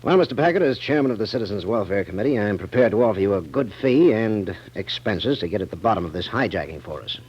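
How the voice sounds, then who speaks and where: An actor playing a brisk, formal, emphatic civic chairman in a 1940s radio drama. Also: fairly low pitch, animated, a little mellow, slightly rough. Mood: resolute. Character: brisk, assertive male civic committee chairman. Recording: muffled 1940s radio recording.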